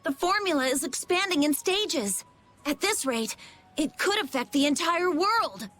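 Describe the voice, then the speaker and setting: A blunt young girl scholar from an anime game. Her high voice swings widely as she explains things briskly and speaks her mind, with music behind her. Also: lively intonation, fairly bright tone. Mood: urgent, explaining. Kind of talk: English dub of anime role-playing game.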